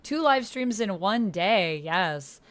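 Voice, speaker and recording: A woman's voice: mid-range voice, animated, slow, a bit bright. A woman hosting a roleplay livestream, chatting casually, muttering to herself and reading typed posts aloud. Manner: chatty, muttering to self while typing. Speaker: host of a live tabletop roleplay stream. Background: computer keyboard typing.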